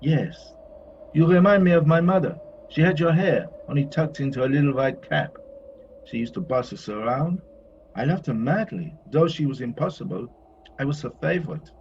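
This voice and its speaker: A man's voice: a little high, normal speed, lightly acted. An actor playing a whimsical, courtly male painter in an audio play, moody yet carefree, with a theatrical, lyrical way of talking. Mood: fond, musing. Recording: dull, slightly noisy recording.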